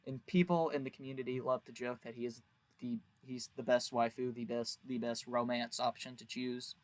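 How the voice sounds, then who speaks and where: A man's voice: medium pitch, little pitch movement, normal speed, no room sound. A man giving a casual, rambling video review as host, with a crude, jokey, exaggerated way of talking.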